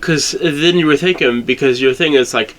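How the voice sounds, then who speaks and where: A man's voice: on the high side, moderate pace, a bit bright, slight background noise, low-quality recording. A man chatting casually as a discussion partner, agreeable and often brief in what he says. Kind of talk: casual chat.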